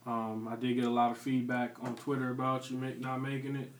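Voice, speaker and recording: A man's voice: mid-range voice, monotone, fairly quick, distant mic. A man hosting a podcast, asking loose, slangy questions and cracking jokes. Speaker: podcast host. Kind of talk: casual interview chat. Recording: echoey room, voice off-mic, faint background noise.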